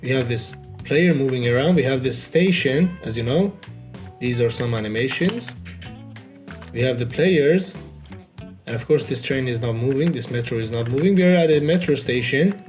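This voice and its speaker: A man's voice: medium pitch, animated, moderate pace, darkish tone, soft background music. A man whose first language is Farsi gives a loose, unedited walkthrough of his indie game. He keeps filling gaps with "you know". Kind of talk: casual unedited devlog walkthrough.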